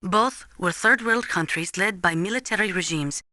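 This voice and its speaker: A serious female weapons adviser in a military video game. Her voice is crisp-edged and bright, recorded very dry and close. She explains things formally and exactly, like a trained instructor. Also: lowish voice, moderate pace, no echo. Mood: serious, cautionary. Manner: formal, precise, instructive, avoids contractions. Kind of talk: scripted video game voice acting, explanatory briefing.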